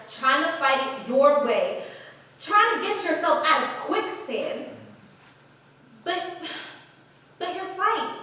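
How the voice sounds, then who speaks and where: A woman's voice: high voice, sharp tone, exaggerated voice. A woman playing a proud, pragmatic film actress, emphatic and colloquial, in a staged play reading. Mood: earnest, subdued. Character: Diane, proud, pragmatic, hard-bitten film actress urging a stubborn friend. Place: echoey room at a staged reading. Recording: very echoey room, distant microphone.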